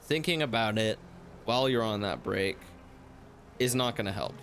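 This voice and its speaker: A man's voice: fairly low pitch, moderate pace, a bit bright, a little hiss or hum. A young man hosting a solo talk show, rambling loosely and reflectively, his voice slightly worn from hours of talking. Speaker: podcast host.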